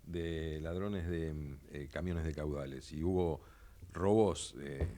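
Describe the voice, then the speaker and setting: A man hosting a casual interview, asking questions and commenting in a halting way, repeating words as he thinks. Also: a bass voice, fairly even intonation, mellow. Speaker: interview show host.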